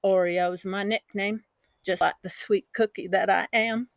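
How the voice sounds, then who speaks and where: A woman's voice: medium pitch, steady tone, measured pace, vocal fry, no echo, clean studio sound, pitched below the performer's own voice. A woman voicing a spirited, boastful country child from a storybook, telling the tale in a folksy, emphatic, dramatic way. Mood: chatty, proud.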